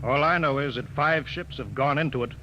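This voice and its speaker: An actor playing a steady male spaceship captain in a 1950s radio drama, giving crisp, gruff commands, on an old hissy broadcast. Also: medium pitch, fairly quick, lightly acted. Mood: reasoned. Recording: muffled old radio broadcast, loud hiss.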